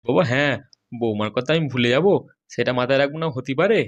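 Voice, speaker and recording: Man playing a brisk, reassuring older cartoon father. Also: mid-range voice, expressive, lo-fi sound, natural voice. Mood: reassuring, hesitant. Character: reassuring older father pressed for money by his child. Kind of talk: amateur comedy cartoon dialogue.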